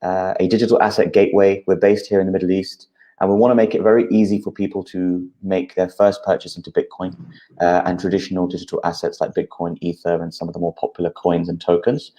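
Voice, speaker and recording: A man, a guest calmly explaining things in an interview, with frequent uh fillers. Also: low-pitched, fast. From United Kingdom. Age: 30s.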